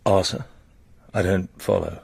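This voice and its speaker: An actor with a British accent playing a stubborn, sardonic secret agent in a radio drama, dry and clipped. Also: male voice, low voice, flat delivery, measured pace, creaky, darkish tone, slightly rough, heavily acted. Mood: wary, polite.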